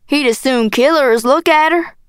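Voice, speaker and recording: A woman voicing a bitter, bullying middle-aged Southern father in an audiobook, gruff and profane in manner. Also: a little high, steady tone, normal speed, fairly bright tone, no echo, studio quality, close to an everyday voice. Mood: angry, scornful. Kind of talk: audiobook character acting.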